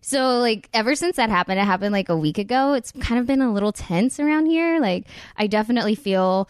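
Smooth-voiced woman in candid chat. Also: a little high, animated, dry recording, clean studio sound. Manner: candid, talks fast with many "like" fillers. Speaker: podcast host. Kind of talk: casual chat.

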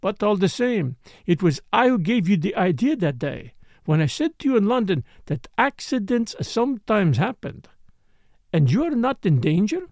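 A man's voice: high-pitched, very animated, moderate pace, darkish tone, dry recording, studio quality. A man voicing a seductive, mocking Frenchwoman with a put-on French accent, in a teasing murmur. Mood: coaxing.

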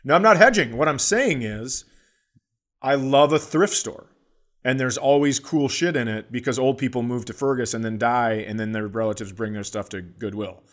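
A man with an American accent and a mid-range voice chats on a comedy podcast. He explains things in a deadpan, mock-earnest way, keeping it casual and conversational. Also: mid-range voice, normal speed. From Minnesota, United States.

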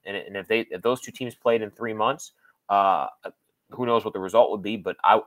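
A low-pitched man speaks as a guest sports analyst in a close, dry recording. His delivery is conversational and halting, doubling back on phrases as he thinks aloud. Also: fairly bright tone, no room sound.

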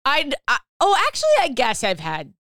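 A woman's voice: sits high, wildly swooping pitch, moderate pace, a bit bright, no room sound, studio quality. A woman hosting a podcast, chatting casually with playful teasing banter. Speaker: podcast host. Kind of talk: casual chat.

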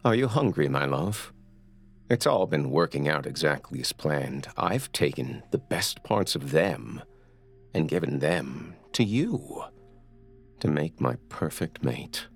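A man playing a sadistic, possessive kidnapper and killer in a horror audiobook, speaking with menacing, mock-affectionate sweetness and a gravelly voice. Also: very deep voice, expressive, takes it slowly, full character voice. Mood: gloating, sweet. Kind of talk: dramatic horror audiobook acting.

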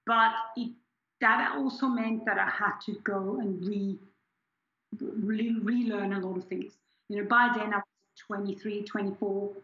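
Bright-voiced woman in her forties. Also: mid-range voice, fairly even intonation, a little slow, echoey room, highs cut off. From Switzerland. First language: German. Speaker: scientist guest. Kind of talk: candid personal interview.

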